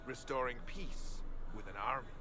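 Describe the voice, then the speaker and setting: A man's voice: medium pitch, normal speed, bright, forceful tone, close to an everyday voice. A man voicing an arrogant noble marquis who talks with haughty contempt, in game audio. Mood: sneering. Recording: roomy game audio, faint music.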